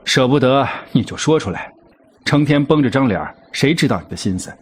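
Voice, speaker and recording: An actor playing a brash, blunt wolf king in a costume audio drama, gruff and impatient. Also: medium pitch, expressive, a little fast. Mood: frank, nagging. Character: brash, blunt wolf king, a grown man at his wedding.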